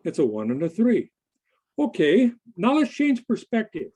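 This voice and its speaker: Mellow, higher-pitched man presenting. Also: huge pitch swings, unhurried, husky. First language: English. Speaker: conference presenter. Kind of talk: conversational presentation and question answering to a group. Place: online video meeting.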